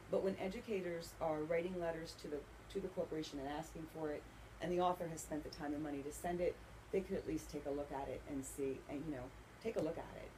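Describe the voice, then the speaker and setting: A woman's voice: lowish voice, mellow, poor audio. A woman answering interview questions conversationally, as an author, in an earnest, explanatory way. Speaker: author and bookseller being interviewed. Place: inside a bookstore. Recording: faint background noise.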